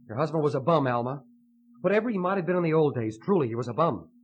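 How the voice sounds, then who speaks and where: An actor playing a meek, hen-pecked man straining to seem tough, fussy and excitable, in old radio drama style. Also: fairly high pitch, fast talker, breathy edge. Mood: agitated, boastful. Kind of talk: 1950s radio drama acting. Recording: muffled vintage radio broadcast.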